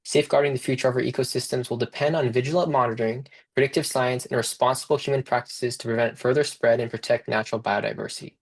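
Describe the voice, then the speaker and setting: A young man hosts a video-call panel at a brisk clip. He reads a prepared introduction in a friendly way, and his voice has a gravelly, creaky edge. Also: medium pitch, fairly even intonation. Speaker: student moderator.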